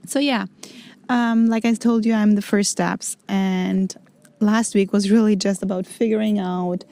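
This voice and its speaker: Woman with creaky, mellow, casual voice. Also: medium pitch, steady tone. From Greece and Germany. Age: 40s. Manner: informal, halting word searches in non-native English. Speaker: freediving instructor and show host. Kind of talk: casual personal vlog talk.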